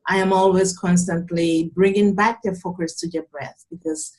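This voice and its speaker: A young adult woman from Cameroon, a yoga teacher calmly and earnestly explaining her practice in an interview. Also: on the low side, moderate pace. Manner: calm, earnest, explanatory with frequent restarts. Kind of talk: interview answers, conversational.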